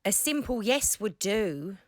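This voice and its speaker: A woman playing a nosy, excitable Victorian housekeeper in an audio play, chatty and inquisitive. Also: medium pitch, slow, no echo, clean studio sound. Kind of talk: scripted audio play acting.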